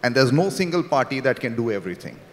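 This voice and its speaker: A man on a conference panel sets out his argument in measured steps, filling pauses with "uh". His voice is mid-pitched. It comes through a handheld mic in a large, echoing hall. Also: big pitch swings. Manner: measured, explanatory, with fillers. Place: large conference stage with a long reverb. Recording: hall reverb, stage PA.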